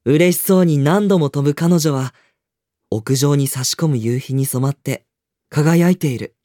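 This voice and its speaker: An actor playing a reserved, understated teenage high school boy who used to dance ballet, in a radio drama with dialogue and narration. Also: unhurried, mellow, dry recording, lightly acted. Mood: reflective narration.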